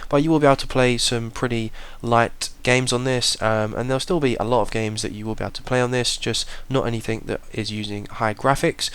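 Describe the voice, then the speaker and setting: A man narrating a tech review in a plain, measured, explanatory way. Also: medium pitch, a bit bright, clean tone. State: slight cold. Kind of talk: voice-over product review.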